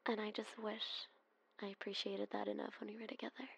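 A woman doing a whispered ASMR roleplay, speaking in soft, breathy whispers with frequent sighs. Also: mid-range voice, little pitch movement, brisk.

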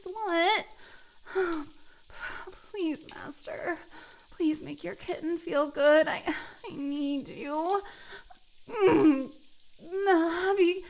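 A needy, submissive catgirl, voiced by a young woman, pleads in a high, breathy voice. She speaks slowly, sighing and stammering between her words. It is an intimate adult audio roleplay. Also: exaggerated voice. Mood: breathy pleading.

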